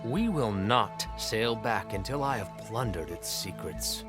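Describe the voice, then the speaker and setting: An actor voicing a pompous, commanding ruler of a seafaring tribe in a video game, speaking with grandiose authority. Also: male voice, fairly low pitch, lively intonation, a little slow, loud music behind the voice. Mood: imperious. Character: imperious, grandiose male chief executive of a seafaring tribe. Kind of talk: dramatic video game acting.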